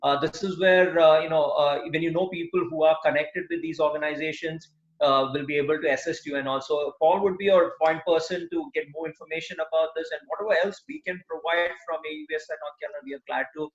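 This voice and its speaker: A man hosting a video call discussion, speaking haltingly and filling pauses with "uh". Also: fairly high pitch, steady tone. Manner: hesitant, many "uh" fillers. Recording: echoey, dull call audio.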